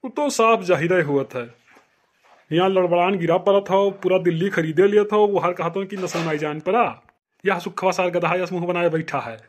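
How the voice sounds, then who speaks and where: A man's voice: high-pitched, normal speed. A man voicing a bossy, exasperated village man in a comic cartoon, scolding bluntly with an Awadhi accent. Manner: scolding, threatening, rustic and blunt.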